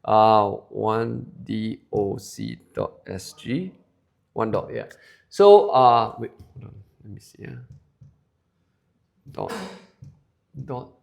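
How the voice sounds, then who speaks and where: A man's voice: on the low side, slow pace, vocal fry, pauses often. A man in his forties with a Singaporean English accent, giving a chatty sales presentation to a room of listeners and polling them with questions. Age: 40s. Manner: chatty, polls the crowd and tags lines with "okay?". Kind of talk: sales presentation to a live audience. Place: seminar room with an audience.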